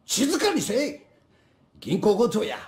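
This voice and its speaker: A man's voice: sits high, wildly swooping pitch, moderate pace, darkish tone. An actor playing a stern, blunt male bank robber with a Kansai Japanese accent in a video game. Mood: stern, commanding. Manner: blunt, commanding. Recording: echoing room sound.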